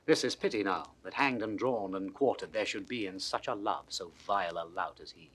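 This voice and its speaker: An actor playing a mocking, cynical nobleman in a 1960s Shakespeare audio drama, raspy and biting. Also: deep voice, moderate pace, fairly bright tone, poor audio. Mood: scornful. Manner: sardonic, biting. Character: mocking, cynical blunt nobleman, sneering aside.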